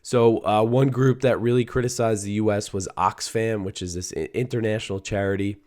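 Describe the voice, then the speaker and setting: A man hosting a news show, talking through the day's stories in a conversational way with a hoarse, worn-out voice. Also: on the low side, steady tone, fairly quick, darkish tone. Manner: conversational, reads quotes aloud, some restarts. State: hoarse, voice a little shot. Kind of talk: solo news commentary.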